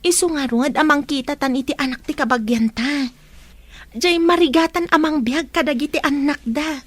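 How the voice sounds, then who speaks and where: Woman acting high-voiced anxious Ilocano young wife. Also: normal speed, no echo, close to an everyday voice. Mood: insistent. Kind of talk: Filipino radio drama acting.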